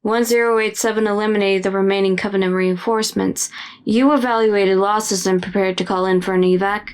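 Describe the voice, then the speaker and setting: A woman's voice: mid-range voice, steady tone, a little fast, smooth, close to an everyday voice. A woman playing a cold, stern intelligence officer in an audio drama, speaking in a formal, controlled way. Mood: probing. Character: cold, stern female military intelligence interrogator.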